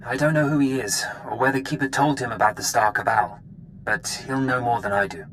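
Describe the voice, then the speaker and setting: Man acting blunt male intelligence watcher, medium pitch, dry, disdainful, game briefing, light ambience. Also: fairly quick, fairly bright tone, slight background noise, close to an everyday voice, poor audio. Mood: businesslike. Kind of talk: cinematic video game briefing.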